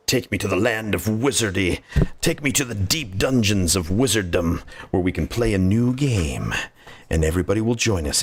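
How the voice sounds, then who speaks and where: Male streamer, low voice, casual game commentary, effusive and goofy, often repeats phrases. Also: slightly rough. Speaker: livestreamer playing a game and greeting chat.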